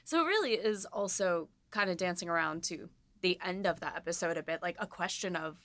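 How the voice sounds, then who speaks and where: A woman's voice: lowish voice, lively intonation, a little fast, a bit bright, dry recording. A woman co-hosting a casual podcast, talking informally and thinking aloud as she goes. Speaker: podcast host and series writer.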